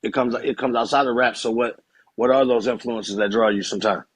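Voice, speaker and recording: A man hosting a casual interview, asking questions haltingly with many restarts. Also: medium pitch, fairly even intonation. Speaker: podcast host.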